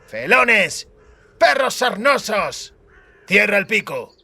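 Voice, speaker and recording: A man's voice: high-pitched, big pitch swings, slow pace, gravelly, husky, dry recording, pitched above the performer's own voice, full character voice. A man voicing a furious, loyal henchman in a fantasy audiobook, howling his accusations. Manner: howling, shouting.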